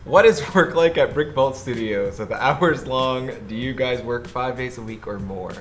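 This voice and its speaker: A man's voice: medium pitch, normal speed, a bit bright, soft background music. A man in his twenties reading viewer questions in a casual studio chat, laid-back and agreeable. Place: large studio room full of shelves.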